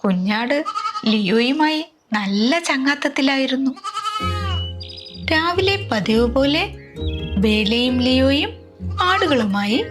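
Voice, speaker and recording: A woman storyteller narrating a scripted children's tale in an expressive, dramatic way. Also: sits high, slow, long pauses, music in the background.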